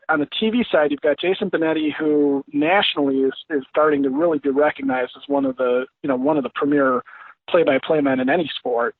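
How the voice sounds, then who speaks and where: A man's voice: on the high side, no room sound. A man as a guest giving casual, rambling sports analysis over a remote call. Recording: thin, dry phone-like line.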